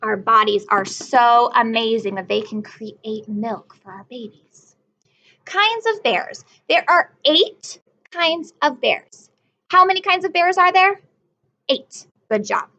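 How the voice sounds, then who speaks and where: A woman's voice: a little high, takes it slowly, bright, forceful tone, dull audio. A woman teacher reading a picture book aloud to young children, stopping often to ask them questions and praise them. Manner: encouraging, asks children questions.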